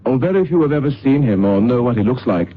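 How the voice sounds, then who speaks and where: An actor playing a courteous gentleman, formal and matter-of-fact in an old radio drama. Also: medium pitch, expressive, quick pace, natural voice. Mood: courteous, informative. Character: proper, courteous English gentleman. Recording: muffled, hissy old radio recording.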